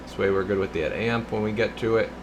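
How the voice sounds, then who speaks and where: A man with a fairly low voice hosts a casual hands-on demo. He narrates each step informally, half to himself and half to his viewers, while he works. A light steady hum of indoor air noise sits behind him. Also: quick pace. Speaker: host of a radio repair and test video channel. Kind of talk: casual hands-on demonstration, talking while working.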